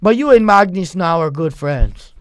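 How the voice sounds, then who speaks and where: High-voiced deadpan man teasing his guest. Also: moderate pace, no echo. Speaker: interviewer. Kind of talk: comedic confrontational interview.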